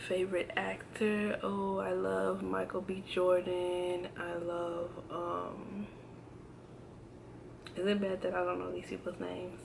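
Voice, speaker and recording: Young woman in her twenties, slow unhurried delivery, lowish voice, hesitant and chatty, faint room noise. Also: gravelly. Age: 20s. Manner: relaxed, hesitant, many ums and restarts. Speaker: vlogger. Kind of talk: casual tag video chat. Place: indoors at home, in front of a backdrop. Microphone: camera framed close, seated.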